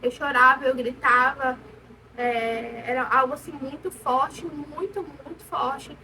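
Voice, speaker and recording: A woman's voice: high-pitched, steady tone, measured pace, bright, forceful tone. A young woman with a Brazilian accent telling a personal story in a candid, casual vlog style, conversational and emphatic. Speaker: vlogger sharing motherhood experience. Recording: distant mic, noisy room ambience.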